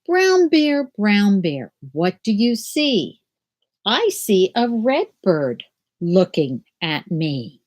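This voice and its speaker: An older woman teaching children from home over a webcam, explaining a picture book and then reading it aloud in a gentle sing-song. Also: mid-range voice, big pitch swings, very slow, a little hiss or hum. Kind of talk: children's read-aloud lesson. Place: small room at home. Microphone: laptop webcam video call.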